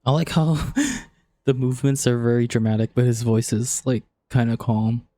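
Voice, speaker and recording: A man livestreaming a game with casual commentary. His voice is mid-pitched and very mellow, like relaxed chat with viewers. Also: moderate pace. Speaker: game streamer.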